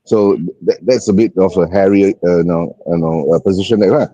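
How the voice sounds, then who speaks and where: A man's voice: low voice, a little fast, mellow, no room sound, lo-fi sound. A man chatting about football on a live stream, hesitant and stammering, often tagging sentences with "right?". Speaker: panel guest. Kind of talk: casual football chat.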